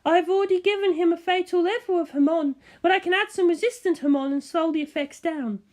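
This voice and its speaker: A narrator plays a composed, kind-hearted woman fighter in a storybook tale. The voice is pitched well up, light and measured as it explains things earnestly. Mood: calm, earnest.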